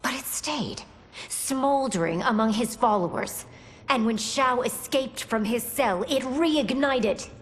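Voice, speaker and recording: An actress playing a proud, vengeful empress in a video game, with an imperious, deliberate delivery. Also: female voice, fairly high pitch, expressive. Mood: bitter, rising anger. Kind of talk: video game cutscene acting.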